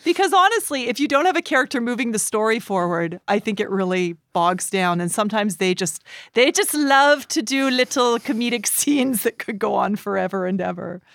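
A woman's voice: on the high side, animated, moderate pace, fairly bright tone, no echo, clean studio sound. A woman speaking as a podcast guest and performer in casual conversation, earnest and emphatic in the way she talks.

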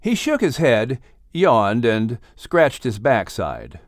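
A man reading a children's audiobook as its narrator, in an animated storytelling style. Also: mid-range voice, slow, dry recording, studio quality.

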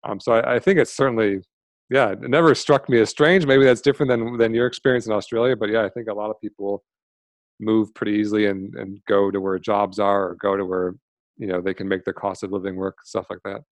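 A fast-talking American man with a low-set voice, chatting loosely on a podcast and tripping over fillers and restarts. The sound is dry and close, with no room in it. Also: steady tone, dry recording. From Michigan, USA. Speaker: podcast guest. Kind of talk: casual interview talk.

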